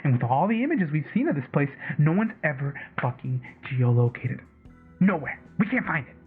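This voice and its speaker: A man's voice: fairly high pitch, big pitch swings, a little fast, breathy edge, exaggerated voice. An actor playing an agitated, obsessive conspiracy caller in a radio drama, stammering, repeating himself and swearing as he rambles. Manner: stammering, repetitive, profane rambling. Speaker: caller on a late-night radio show. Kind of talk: audio drama acted as casual talk radio. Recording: tinny phone-like line with background music.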